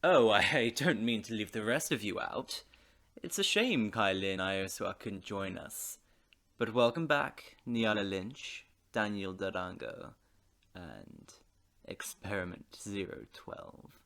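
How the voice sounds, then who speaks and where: Villain role: a smug crime lord, slow and gravelly, theatrically polite menace. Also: male voice, on the low side, animated, a bit bright, exaggerated voice. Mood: mock-polite. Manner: drawling, mock-polite and gloating. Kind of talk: audio drama acting.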